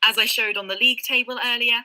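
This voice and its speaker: Bright-voiced British woman tutor. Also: on the high side, steady tone, quick pace, low-quality recording. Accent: British English. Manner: friendly explaining with frequent ums and light laughs. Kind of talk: live online Q&A. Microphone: video call.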